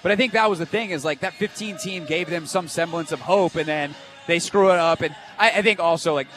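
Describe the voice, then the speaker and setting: A male sports radio host with a slightly high voice talks fast and emphatically, broadcasting live from an event. Faint music plays behind him. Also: fairly bright tone.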